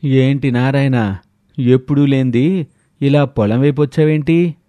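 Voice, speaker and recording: A man's voice: mid-range voice, steady tone, a little slow, mellow, dry recording, lo-fi sound, close to an everyday voice. A man voicing a chatty, rustic older farmer neighbour in a story, folksy and resigned.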